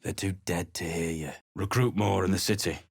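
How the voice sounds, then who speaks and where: An actor playing a surly, battle-worn mercenary adventurer in a video game, speaking in a gruff, blunt, dismissive way. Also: male voice, low voice, steady tone, moderate pace, dry recording, clean studio sound, heavily acted.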